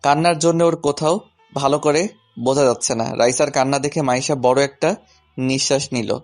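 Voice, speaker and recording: A man's voice: mid-range voice, fairly even intonation, normal speed. Man narrating a story over music. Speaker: narrator and male lead of an audio story. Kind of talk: first-person scripted story narration.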